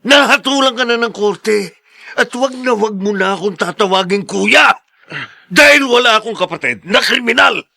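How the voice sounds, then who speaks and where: An actor playing a furious, unforgiving older brother, confrontational and defiant in a radio melodrama. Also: male voice, high-pitched, moderate pace, vocal fry, full character voice. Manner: confrontational, forceful. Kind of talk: melodramatic radio drama acting.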